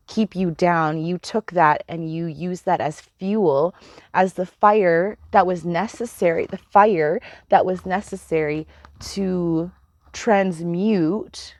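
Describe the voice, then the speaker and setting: A woman giving a casual spiritual card reading, chatty and meandering, with a slightly hoarse voice. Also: on the low side, darkish tone, no echo, studio quality. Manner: chatty, meandering, laughs often, swears casually. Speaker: tarot reader.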